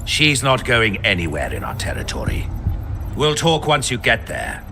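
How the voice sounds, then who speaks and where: A man's voice: lowish voice, huge pitch swings, normal speed, creaky, noisy background, heavily acted. A man playing a scheming, self-assured villain mastermind in a video game, with a smug, commanding and theatrical way of talking. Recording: music under the voice.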